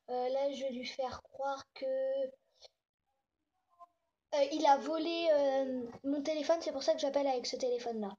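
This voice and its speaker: High-voiced young girl, dull lo-fi sound. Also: dark tone. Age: child or young teen. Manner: slangy, improvised, thinking aloud. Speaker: host making prank calls. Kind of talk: casual chat and prank phone calls. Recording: dull lo-fi home recording.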